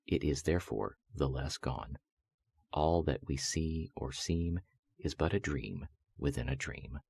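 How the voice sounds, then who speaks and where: A man with an extremely low, husky voice reads gothic verse aloud. His delivery is solemn and measured, with theatrical phrasing suited to bedtime listening. The sound is close and dry. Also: darkish tone, no room sound. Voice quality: husky, very deep voice. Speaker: voice-over artist reading poetry. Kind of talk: dramatic recitation of gothic poetry for sleep and relaxation.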